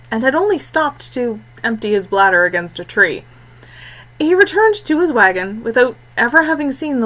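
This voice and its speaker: A woman narrating a scripted fantasy story in a measured, expressive way with deliberate phrasing. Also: on the high side, poor audio. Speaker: audiobook narrator.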